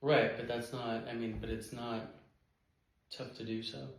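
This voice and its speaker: A man chatting casually with his partner as co-host of a couple's channel, in halting phrases full of fillers. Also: medium pitch, little pitch movement, slight background noise. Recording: very echoey room.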